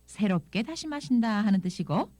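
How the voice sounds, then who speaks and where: A woman presenting a scripted audio language lesson, explaining things in an expressive reading style. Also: medium pitch, normal speed, dry recording. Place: dry studio.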